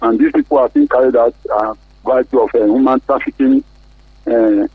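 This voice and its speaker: A man's voice: mid-range voice, wildly swooping pitch, a little fast, husky, no room sound. A man with a Nigerian accent calling a radio show by phone, giving his opinion earnestly in slightly formal wording. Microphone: telephone. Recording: muffled, narrow tinny phone line.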